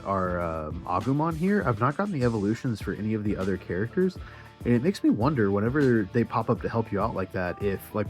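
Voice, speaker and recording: A man with a fairly low voice chats casually in a vlog style, full of geeky enthusiasm. He talks to his viewers as he follows along with a handheld game, with music playing behind him. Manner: enthusiastic, geeky fan talk. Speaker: vlogger. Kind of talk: casual chatty vlog with play-by-play of handheld virtual pet games.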